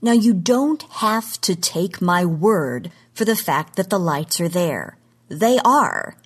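An American woman with a slightly low voice presents a scripted podcast segment. She is articulate and tells her material like a storyteller, placing her emphasis with care. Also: expressive, slow pace, breathy edge. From Oklahoma, United States. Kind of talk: scripted talk, storytelling lecture.

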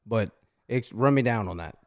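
A man co-hosting a casual podcast, speaking in a relaxed, somewhat hesitant way. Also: mid-range voice, moderate pace, dry recording, clean studio sound. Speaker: podcast co-host.

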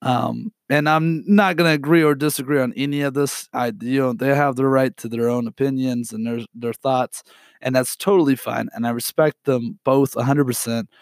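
A young man hosting a podcast alone, sick and hoarse, talking in an apologetic, rambling way with many fillers and throat clearing. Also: medium pitch, steady tone, normal speed, no room sound, studio quality. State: sick with a raspy, cracking voice. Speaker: podcast host. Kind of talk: unedited solo podcast update.

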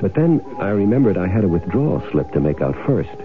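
Low-voiced sly radio swindler. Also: male voice, sing-song, fairly quick. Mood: smug, wry. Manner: wry, casual, slangy storytelling. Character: sly, self-satisfied male swindler telling his own crime story. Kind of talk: 1970s radio drama narration and acting. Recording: tinny, band-limited old radio broadcast, music behind.

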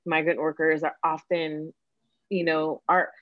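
A woman's voice: low voice, flat delivery, a little slow, dry recording. A woman, a Tagalog speaker from the Philippines, talking casually and reflectively in an informal, self-deprecating way. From born in the Philippines, moved to the United States at ten. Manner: informal, self-deprecating, checks in with "you know". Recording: slightly dull, lo-fi audio.

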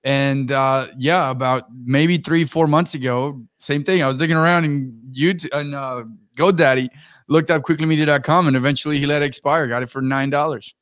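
A man's voice: on the high side, fairly even intonation, fairly quick, a bit bright, no echo. Young male co-host, easygoing conversational delivery, dry studio sound. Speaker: podcast co-host. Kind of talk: casual podcast chat.

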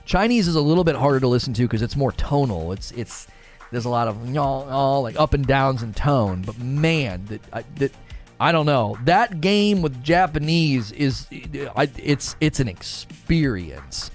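A man's voice: medium pitch, expressive, measured pace, soft background music. Rambling, enthusiastic male streamer host. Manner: enthusiastic, rambling, repeats himself. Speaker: livestream talk show host. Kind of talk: casual livestream chat reading viewer comments.

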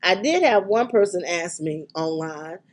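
A middle-aged woman hosting a podcast, talking in a chatty, excitable way with frequent ums, her voice congested from a cold. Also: lowish voice, unhurried. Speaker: podcast and radio host. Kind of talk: casual podcast chat.

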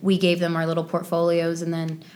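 Young woman, slightly low voice, chatty storyteller. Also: monotone, a little fast, darkish tone, a little hiss or hum. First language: Polish. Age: 20s. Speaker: actress being interviewed. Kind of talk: casual chat, personal storytelling.

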